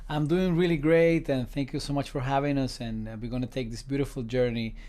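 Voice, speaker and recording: A man's voice: mid-range voice, fairly even intonation, a little fast, dark tone. A man raised in Venezuela, a musician guest who speaks with enthusiasm over a video call.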